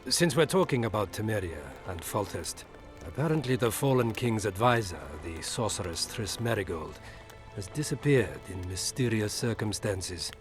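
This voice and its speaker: An actor playing a suave, middle-aged imperial ambassador in a video game, formal, measured and insinuating. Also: male voice, on the low side, animated, natural voice. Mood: formal, guarded. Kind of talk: video game dialogue acting. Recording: music behind the voice.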